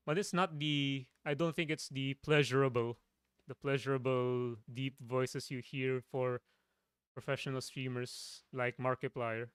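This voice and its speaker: Low-voiced man chatting casually. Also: fairly even intonation, unhurried, clear, resonant tone, no room sound. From Philippines. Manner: rambling, with frequent restarts. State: voice tiring. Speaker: streamer. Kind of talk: casual stream chat.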